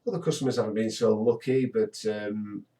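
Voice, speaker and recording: A man's voice: on the low side, fairly quick, dark tone. A young British man from the UK casually reviewing a guitar he bought, talking in a rambling, hesitant way full of restarts. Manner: rambling, hesitant, self-correcting. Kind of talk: casual product review vlog. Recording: voice a bit far from the mic.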